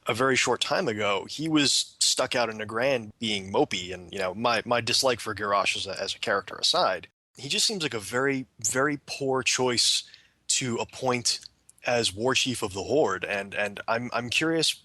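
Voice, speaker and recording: A man in a casual panel discussion, giving opinions while pausing and searching for words. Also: medium pitch, pushed and bright, dry recording. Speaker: podcast panelist.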